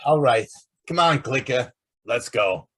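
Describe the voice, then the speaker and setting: Radio drama newsman, played by a man: eager, pushy, hesitant, animated husky delivery. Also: medium pitch, measured pace. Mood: eager, pressing. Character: eager, breezy newspaper reporter. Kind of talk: old-time radio drama acting.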